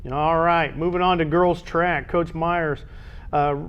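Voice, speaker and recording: An American man with a fairly high voice hosts a local school sports program. He chats casually and steers between topics, and the recording is a little dull and lo-fi. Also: fairly even intonation, slow, clear, resonant tone. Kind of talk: casual sports chat, moderating.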